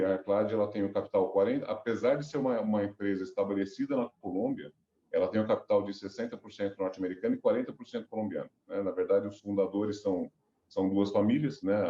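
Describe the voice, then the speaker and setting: A man's voice: fairly low pitch, dark tone, roomy sound, highs cut off. A middle-aged man with a cold and a stuffy, nasal voice, rambling through a business interview as a company director. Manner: rambling, many restarts and fillers.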